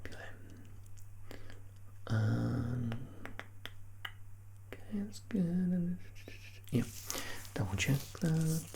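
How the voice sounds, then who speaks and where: An ASMR performer speaking in a soft, unhurried murmur that slips into breathy whispers, reassuring and gently playful in a personal-attention roleplay. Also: medium pitch, expressive, slight background noise. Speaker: ASMR performer playing a measurer.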